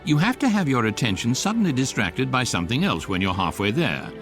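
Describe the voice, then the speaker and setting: An actor voicing a wry electronic guidebook, narrating a comic science fiction radio drama in a measured, deadpan way. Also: male voice, mid-range voice, soft background music. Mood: wry, instructive.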